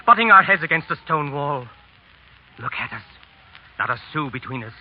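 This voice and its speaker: An actor playing a young, starving French painter, complaining bitterly and theatrically in 1930s radio style. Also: male voice, on the high side, animated, normal speed. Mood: despairing. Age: young adult. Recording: lo-fi noisy 1930s radio broadcast.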